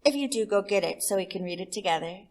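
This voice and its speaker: A woman reading a picture book aloud to children as host, expressive, with deliberate emphasis on words. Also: mid-range voice. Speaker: children's story reader and host.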